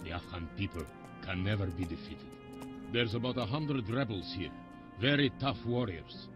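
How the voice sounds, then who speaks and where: A man as a deep-voiced, solemn Afghan guide. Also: lively intonation, lightly acted. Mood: grave pride. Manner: solemn, measured storytelling. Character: grave, proud Afghan guide, a seasoned rebel ally. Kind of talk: video game cutscene acting. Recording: dull lo-fi sound under music.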